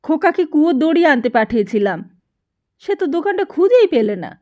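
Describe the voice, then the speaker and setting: A woman's voice: high voice, very animated, fairly quick, dark tone, pitched above the performer's own voice. A woman voicing a chatty village wife in an audiobook story, full of questions. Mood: doubting. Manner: chatty, inquisitive. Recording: dull lo-fi narration recording.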